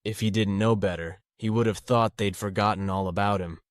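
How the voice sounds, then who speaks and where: Man, scripted narration, lowish pitch, close dry recording, fiction audiobook. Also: fairly even intonation, fairly quick, clear, resonant tone, no room sound.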